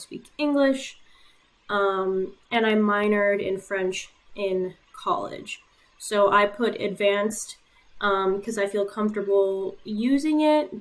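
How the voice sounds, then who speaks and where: A woman in her twenties from Puerto Rico, a native Spanish speaker, casually walking through her own medical school application with frequent "um" fillers and a matter-of-fact manner. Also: mid-range voice.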